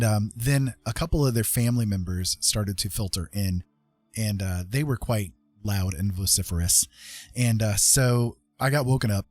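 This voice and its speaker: A man's voice: lowish voice, a little mellow, no room sound. A man hosting a livestream, telling a personal story in a casual, rambling and informal way. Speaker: livestream host.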